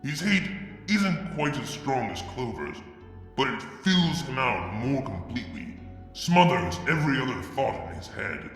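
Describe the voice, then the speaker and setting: Expressive male storyteller, echoey. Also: medium pitch. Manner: measured, expressive. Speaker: fanfic reader. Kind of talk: dramatic story reading. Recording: echoey room with music. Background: music.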